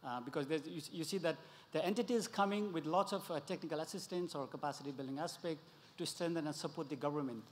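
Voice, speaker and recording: A man from Nepal puts a question to the panel from the floor, earnest and explaining his point with examples. His voice is a little high, carried by a long hall reverb. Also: quick pace, slight background noise. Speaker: audience member asking a question. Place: conference hall.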